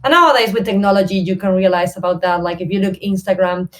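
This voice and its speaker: A woman's voice: mid-range voice, fast. Woman, Argentine Spanish accent, Spanish native speaker, podcast guest, friendly, rambling, filler-heavy. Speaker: interview guest, service designer. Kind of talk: casual interview chat.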